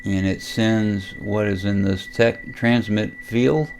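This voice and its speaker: An American man giving a casual, unscripted software walkthrough as a hobby vlogger, halting as he thinks aloud. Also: lowish voice, steady tone, a little hiss or hum. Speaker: amateur radio vlogger demonstrating software. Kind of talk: casual unscripted screen tutorial.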